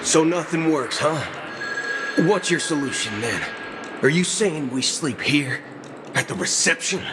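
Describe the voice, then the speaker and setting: A man's voice: a little high, lively intonation, measured pace. An actor voicing an impatient young male adventurer in a game cutscene, sarcastic and pushy. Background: music.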